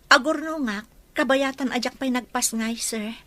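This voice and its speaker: A young pregnant housemaid played by a woman in an Ilocano radio drama. She has an Ilocano accent and a fairly high, bright voice. She speaks with timid, anxious deference. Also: normal speed, no echo. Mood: timid, reluctant. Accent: Filipino, Ilocano. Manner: hesitant, deferential.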